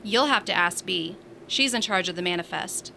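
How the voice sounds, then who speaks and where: A woman's voice: fairly low pitch, moderate pace, creaky, bright, forceful tone. A woman playing a cheeky, easygoing station crew member with a wry, teasing manner in a sci-fi audio drama. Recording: noisy ambience behind the voice.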